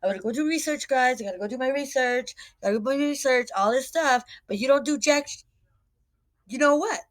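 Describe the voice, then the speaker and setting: A woman's voice: high voice, a little fast. A woman delivering an informal online rant in a blunt, emphatic, repetitive way. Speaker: online commentator posting a rant. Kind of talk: confrontational direct-to-camera rant, informal.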